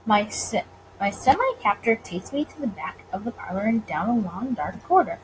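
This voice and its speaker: A woman's voice: mid-range voice, sing-song, normal speed, rough recording. A woman gaming creator chatting casually and reading visual novel narration aloud, recorded with faint noise behind her. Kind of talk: casual let's play with read-aloud narration.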